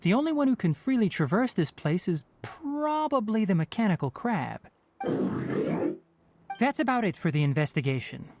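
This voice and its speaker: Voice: medium pitch, big pitch swings, moderate pace, loud music behind the voice. An actor voicing a composed, clinical young scholar in a video game, speaking in a precise, formal, lecturing way. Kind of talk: scripted video game dialogue.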